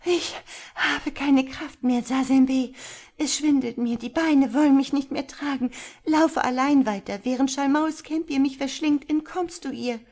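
Woman playing a frail, high-voiced young maiden. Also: unhurried, a little mellow. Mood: exhausted, pleading. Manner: plaintive, frail. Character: gentle, devoted young girl, suffering and self-sacrificing. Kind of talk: fairy-tale reading.

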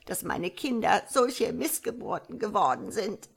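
A woman's voice: mid-range voice, very animated, measured pace, full character voice. A woman voicing an old mother frog in a storybook, tearful, scolding and old-fashioned, with quacking interjections. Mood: disgusted lament. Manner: scolding, lamenting, punctuated with croaky quacks.

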